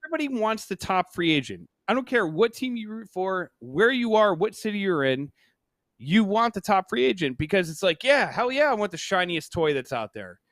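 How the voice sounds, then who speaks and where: A man's voice: high voice, sing-song, brisk, fairly bright tone, no echo, studio quality. A man co-hosting a hockey podcast, giving casual, opinionated sports talk and repeating himself for emphasis.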